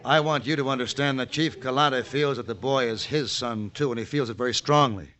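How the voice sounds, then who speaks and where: An actor playing a calm, principled gunfighter in a vintage radio western, speaking in a measured, firm, articulate way. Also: male voice, medium pitch, close to an everyday voice.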